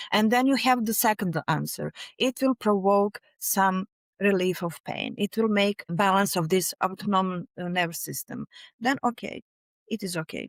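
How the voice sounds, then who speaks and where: A middle-aged woman with a Serbian accent, speaking animatedly in an interview and often pausing to search for words. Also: mid-range voice, dry recording. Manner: animated, searching for words, frequent "you know". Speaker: guest, fascia researcher and author. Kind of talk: conversational interview.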